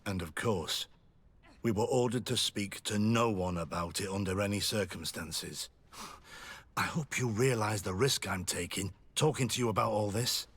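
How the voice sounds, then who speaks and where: Husky, low-voiced lieutenant. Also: male voice, unhurried, fairly bright tone, full character voice. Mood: guarded, uneasy. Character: wary military lieutenant, dutiful male informant confiding secrets. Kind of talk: video game dialogue acting.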